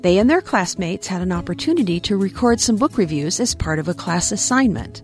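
A woman's voice: fairly low pitch, animated, brisk, a little mellow. Woman radio announcer over faint music. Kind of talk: scripted radio outro.

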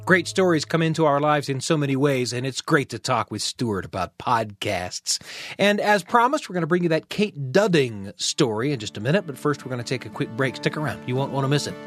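A man's voice: medium pitch, lively intonation, fairly quick, fairly bright tone, no echo, soft background music. Man hosting a radio show, close dry sound. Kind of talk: hosted talk and story introductions.

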